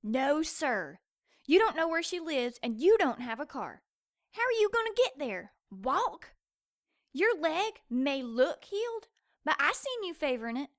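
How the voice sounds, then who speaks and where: A woman's voice: high-pitched, very animated, measured pace, no echo, clean studio sound. A woman playing a feisty, stubborn young sidekick in an audio drama, insistent and folksy in her speech.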